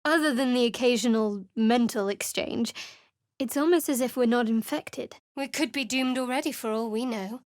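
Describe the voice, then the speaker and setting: Woman playing a wary sarcastic cleric from a fantasy game, slightly high voice, super dry close studio sound. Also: moderate pace, no echo, close to an everyday voice. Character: guarded, sardonic young woman cleric adventurer. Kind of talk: video game voice acting.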